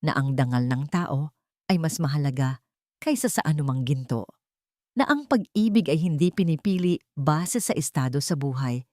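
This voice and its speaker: A woman narrating a scripted, sentimental romance story in an earnest, measured storytelling manner. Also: low-pitched, a little mellow, dry recording, studio quality.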